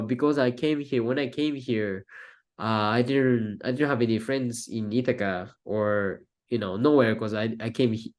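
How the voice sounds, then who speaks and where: A young man from Japan with a Japanese accent, a native Japanese speaker, answering interview questions in halting English with many fillers and restarts. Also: on the low side, darkish tone. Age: young adult. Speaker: guest, film student.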